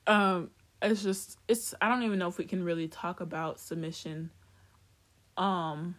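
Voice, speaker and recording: A woman's voice: fairly low pitch, steady tone, unhurried, a bit bright. A woman from Africa co-hosting a podcast, chatting candidly, laughing often and drifting off topic. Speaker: podcast co-host. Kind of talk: casual chat.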